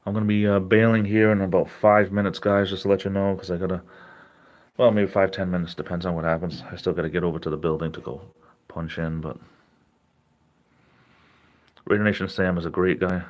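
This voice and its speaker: Tired, very low-voiced man chatting. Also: fast. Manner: relaxed, chatty, easily amazed, laughs often. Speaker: live stream host reading chat. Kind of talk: casual live chat.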